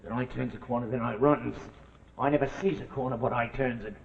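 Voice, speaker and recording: An actor playing a boastful, rough male crook with working-class dialect, in 1940s television suspense drama style. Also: mid-range voice, fairly even intonation, a little fast, close to an everyday voice. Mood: gleeful boasting. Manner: rustic working-class dialect, swaggering storyteller. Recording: muffled, noisy old 1949 TV soundtrack.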